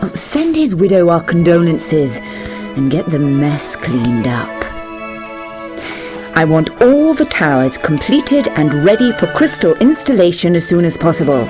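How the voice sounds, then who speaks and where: A woman's voice: fairly low pitch, sing-song, a little slow, over music. A woman playing a cold, imperious, callous queen in a fantasy video game, commanding and dismissive.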